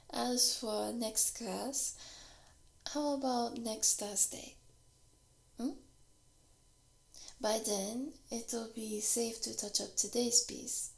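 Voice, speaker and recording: A woman with a Japanese accent playing a warm, gently teasing workshop teacher in an ASMR roleplay, soft-spoken and often slipping into breathy whispers, close to the microphone. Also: a little high, full character voice. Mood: fond, playful. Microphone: close binaural microphone.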